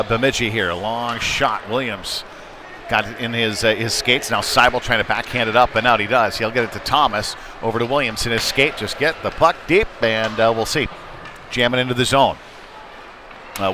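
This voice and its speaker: A man calling live youth ice hockey play-by-play in a folksy, colloquial way. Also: mid-range voice. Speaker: play-by-play commentator. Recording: clear background rink noise.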